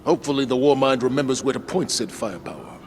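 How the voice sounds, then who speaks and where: An actor playing a stern, guarded male military commander in a game cutscene, speaking in a measured, formal way. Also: medium pitch, animated, a little hiss or hum, close to an everyday voice. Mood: wary. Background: music score.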